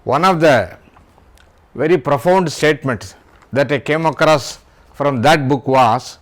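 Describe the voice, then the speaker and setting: Casting: older Indian-accented man, unhurried literary talk, spells out words. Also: a little high. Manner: deliberate, spells out words letter by letter. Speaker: YouTube host, writer.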